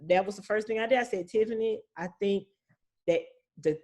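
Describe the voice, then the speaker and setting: A woman's voice: medium pitch, a little fast, mellow. A woman speaking as a guest property manager, explaining things casually in an informal, reassuring, plain-spoken way.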